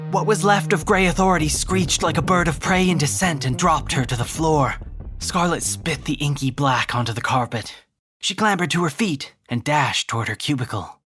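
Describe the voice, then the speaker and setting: Mid-pitched audio drama narrator over music.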